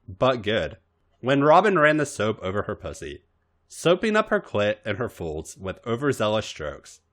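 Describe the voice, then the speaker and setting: A man narrates a written story for a podcast in a lowish voice. His reading is expressive, with pitch that rises and falls a great deal.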